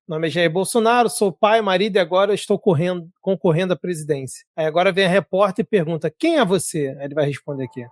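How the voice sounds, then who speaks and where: Male co-host, rapid, casual, dry close mic. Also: high-pitched, no echo. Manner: fast, laughing, swears in disbelief. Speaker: podcast co-host. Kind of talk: casual political chat.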